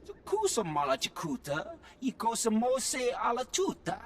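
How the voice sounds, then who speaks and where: A man's voice: very high-pitched, huge pitch swings, normal speed, a little hiss or hum, heavily acted. A man playing an alien guide in a video game, speaking an invented tongue emphatically. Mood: emphatic.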